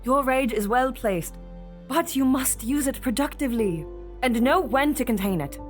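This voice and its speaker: A woman acting a calm, reassuring mentor with measured, formal speech in an audio drama. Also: sits high, soft background music.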